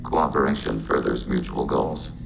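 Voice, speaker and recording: A man playing a calm, literal, emotionless robot with a collective mind in a video game, speaking in flat, clipped statements with a machine-like sound. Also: a bass voice, moderate pace, gravelly, over music. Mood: detached, factual. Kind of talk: scripted video game acting.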